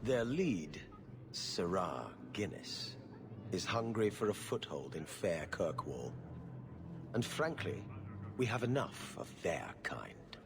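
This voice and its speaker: A man acting a frank, disdainful official of a fantasy city in a video game, with formal, measured, theatrical delivery. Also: deep voice, big pitch swings, noisy background, exaggerated voice. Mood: grave disdain. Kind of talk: video game cutscene dialogue. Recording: long hall-like reverb.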